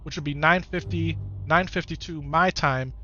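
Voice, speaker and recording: Man, Upper Midwest American accent, fairly high voice, casual game-stream chatter, talks to viewers. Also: moderate pace, sharp tone, dull audio, slight background noise. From Wisconsin, United States. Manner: chatty, talks to his viewers, repeats phrases. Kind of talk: casual live-stream chat over gameplay.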